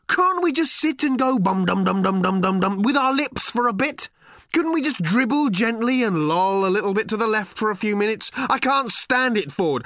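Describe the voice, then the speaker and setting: A man voicing a frazzled, sarcastic middle-aged man who erupts into loud exasperated rants. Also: high voice, no echo, studio quality, pitched above the performer's own voice.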